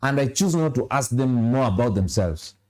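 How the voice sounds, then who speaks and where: A man's voice: mid-range voice, lively intonation, normal speed, darkish tone. A young Kenyan man with a Kenyan accent, a studio guest on a morning TV show, earnestly lecturing on relationships. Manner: earnest, lecturing, acts out little dialogues.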